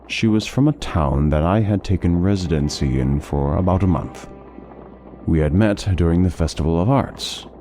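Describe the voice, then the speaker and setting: A man playing a courteous, learned, melancholy traveling scholar in a fantasy audio drama, speaking in measured, formal phrases with music behind him. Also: low-pitched, dark tone, lightly acted. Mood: somber, wistful. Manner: measured, formal, articulate storytelling.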